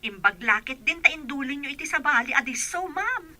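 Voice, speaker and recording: A woman's voice: high voice, expressive, brisk, bright, forceful tone, heavily acted. A woman acting a nosy, indignant housemaid in a comedy radio drama, with an Ilocano Filipino accent. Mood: complaining. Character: nosy, indignant housemaid, loyal to her employer.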